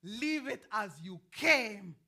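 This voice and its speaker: An actress with an American accent playing a chatty, nosy older gardener in a staged play reading, meandering and prodding. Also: female voice, medium pitch, lively intonation, slow pace, bright, forceful tone, exaggerated voice. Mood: earnest, insistent. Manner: folksy, meandering, prodding with questioning hums.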